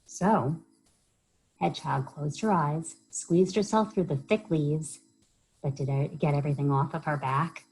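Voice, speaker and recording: A woman reading a children's story aloud as a library storyteller, expressive and often pausing to put questions to young listeners. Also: deep voice, poor audio.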